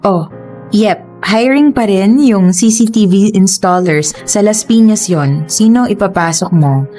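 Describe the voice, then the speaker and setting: A woman's voice: medium pitch, a little slow, warm tone, faint music behind, natural voice. Jane, a woman playing a reassuring girlfriend. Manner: reassuring, cheerful, laughs often. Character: loving, reassuring young girlfriend. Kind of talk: radio drama acting.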